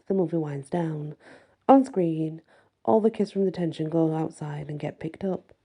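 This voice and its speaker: Female ASMR story reader: very dark timbre, husky texture, first-person fantasy narration. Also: on the low side.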